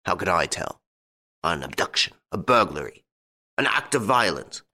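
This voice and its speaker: A man's voice: sits low, big pitch swings, normal speed, bright, forceful tone, exaggerated voice. A man voicing an anxious, crabbed and proud old duke in a storybook reading. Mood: fearful, brooding. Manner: anxious, indignant.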